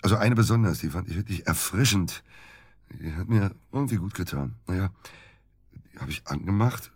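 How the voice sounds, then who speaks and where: Radio drama evasive male doctor under suspicion: deep, husky, hesitant, very dry recording. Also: animated, no room sound, exaggerated voice. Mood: sheepish, confessional. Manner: halting, hesitant, defensive. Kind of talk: naturalistic German radio drama acting.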